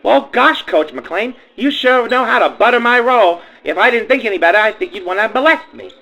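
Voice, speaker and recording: A man's voice: high-pitched, expressive, brisk, pushed and bright, heavily acted, poor audio. A man voicing a perky, naive, self-absorbed small-town girl in an improvised comedy radio play, rambling in folksy gosh-golly talk. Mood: perky, flattered.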